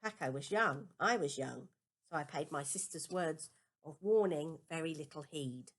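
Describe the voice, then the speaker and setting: A woman reading a children's novel aloud as a first-person story, with expressive, deliberate emphasis. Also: low-pitched. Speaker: amateur audiobook reader.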